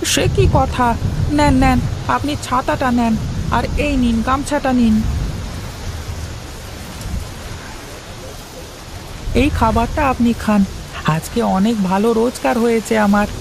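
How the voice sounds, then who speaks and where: A woman voicing a poor, kind-hearted working father in an animated moral tale, speaking earnestly and colloquially. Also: on the high side, normal speed, background noise, natural voice. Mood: kindly concerned.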